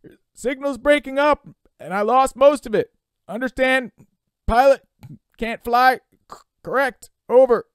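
A man voicing a brisk, insistent male radio operator in an audiobook, speaking in clipped, emphatic procedural phrases. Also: very high voice, clear, resonant tone, dry recording, voice pushed up. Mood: straining, urgent. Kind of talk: dramatic audiobook character voice.

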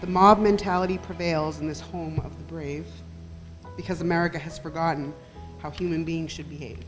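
Low-voiced woman reading poetry aloud. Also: fairly even intonation, fairly quick, soft background music. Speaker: poetry reader. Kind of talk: reading poems aloud at an event. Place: library meeting room, at podium. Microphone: podium gooseneck microphone.

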